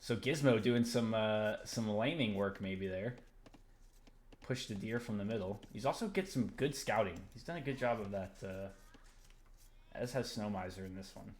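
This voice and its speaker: A man casting a strategy game match, his voice sitting a little low. He lays out tournament rules patiently and breaks into small laughs between calls. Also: normal speed, slight background noise. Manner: explains rules at length, chuckles often. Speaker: tournament caster. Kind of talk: game commentary.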